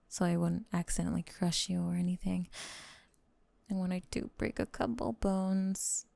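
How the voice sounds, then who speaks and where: A woman's voice: lowish voice, gravelly, warm tone, no echo. A woman voicing a doting, possessive snake-girl girlfriend with sweet menace, in an intimate, coaxing ASMR roleplay that often drops to a whisper. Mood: coaxing, sweetly menacing.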